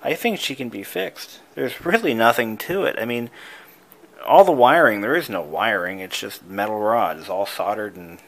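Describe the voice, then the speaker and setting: A man giving a casual, unscripted show-and-tell as a hobbyist, thinking aloud in a musing, halting way. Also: lowish voice, bright, forceful tone, low-quality recording. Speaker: hobbyist showing an old device he is restoring.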